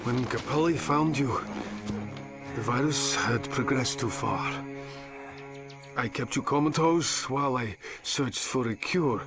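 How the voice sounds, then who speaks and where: A grave older army doctor in a video game, gentle and full of remorse. He speaks very slowly and haltingly, with music playing behind him. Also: mid-range voice. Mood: somber remorse. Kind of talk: video game cutscene acting.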